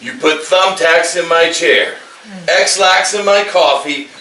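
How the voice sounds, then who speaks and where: An actor playing a gruff, curt, grumpy old retiree in a comedic staged script reading. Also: male voice, fairly high pitch, slow pace, pushed and bright. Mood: indignant. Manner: curt, cantankerous. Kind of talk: staged screenplay table read, comedic acting. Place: live staged reading at a party. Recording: echoey room, distant mic, faint noise.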